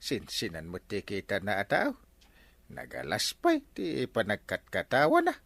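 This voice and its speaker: An actor playing a boastful, hot-headed village captain in an Ilocano radio comedy, blustering and insistent, with an Ilocano accent. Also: male voice, fairly low pitch, wildly swooping pitch, unhurried, creaky, a bit bright, dry recording, full character voice. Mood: stubborn, boastful. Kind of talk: broadcast comedy drama acting in Ilocano.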